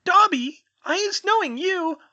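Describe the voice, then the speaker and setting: Female house-elf, tiny and scared, quivering squeak, very high, deferential, broken grammar, storybook. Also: lively intonation, a little slow, sharp tone, highs cut off, full character voice. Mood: nervous. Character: timid female house-elf, frightened and anxious.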